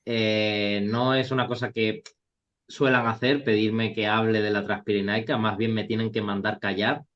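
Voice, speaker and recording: A man's voice: lowish voice, level tone, normal speed. A man from Cuba speaking casually as an interview guest, recorded in dull lo-fi sound. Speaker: interview guest, trail hiker. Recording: dull lo-fi call audio.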